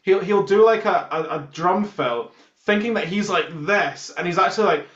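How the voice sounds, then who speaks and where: A man's voice: high-pitched, normal speed, a bit bright. A man with a Scottish accent, a band musician chatting casually in an interview and telling jokey anecdotes. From Scotland. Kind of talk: casual chat. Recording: roomy, echoey, muffled sound.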